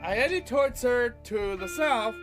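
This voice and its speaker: A man hosting an audio play podcast, reading his own writing theatrically with a halting, dramatic delivery. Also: very high-pitched, expressive, over music.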